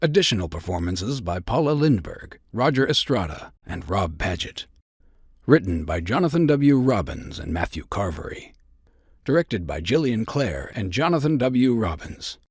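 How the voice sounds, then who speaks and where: Male announcer, scripted credits reading, husky, lively intonation, dry recording. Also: on the low side, normal speed, no echo.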